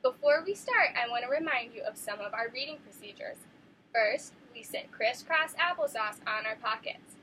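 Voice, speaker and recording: A young woman teacher reads a picture book to her class. She sounds bright and high, with lively swoops in her voice. She keeps an encouraging, animated tone as she questions the children. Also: moderate pace, a little hiss or hum, low-quality recording. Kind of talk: classroom read-aloud lesson.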